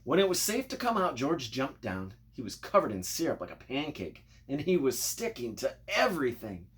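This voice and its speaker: A man narrating a children's storybook in an expressive, playful way, speaking directly to young listeners. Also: mid-range voice, moderate pace. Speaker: storybook reader.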